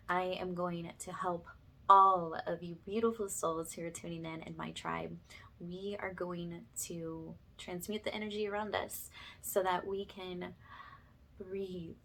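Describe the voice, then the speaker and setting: A woman's voice: fairly low pitch, little pitch movement, measured pace, creaky, fairly bright tone. A woman hosting a spiritual ASMR channel, speaking to her viewers in an earnest, affectionate and reassuring way.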